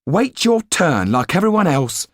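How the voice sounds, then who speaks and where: A man voicing a brisk, plain-spoken cafe proprietor's wife in a historical audiobook, emphatic and colloquial. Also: a little high, very animated, no room sound, clean studio sound, pitched above the performer's own voice. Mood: curt.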